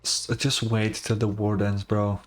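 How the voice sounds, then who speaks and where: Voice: mid-range voice, flat delivery, measured pace. A streamer giving casual, slangy reaction commentary on a game, in a laid-back manner. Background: game music.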